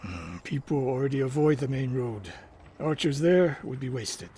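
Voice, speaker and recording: An actor playing a stern, world-weary older samurai archery master in a video game, gruff, terse and authoritative. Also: male voice, medium pitch, lively intonation, normal speed, warm tone. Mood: measured, tactical. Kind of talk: dramatic video game acting. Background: music.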